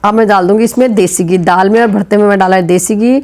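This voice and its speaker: A woman's voice: mid-range voice, fairly even intonation, fast, darkish tone. A middle-aged woman vlogging at home with a sore throat and fever, chatting about her cooking as she works in the kitchen. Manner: chatty, explaining chores as she goes. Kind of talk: casual home vlog narration.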